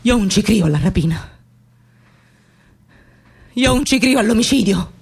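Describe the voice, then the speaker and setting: A woman's voice: medium pitch, lively intonation, normal speed, creaky, husky, heavily acted. An actress playing an anguished, defiant working-class Sicilian woman in a radio theatre piece, in incantatory, repetitive dramatic monologue with a Sicilian accent. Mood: desperate, insistent. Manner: incantatory, repeating phrases with intensity.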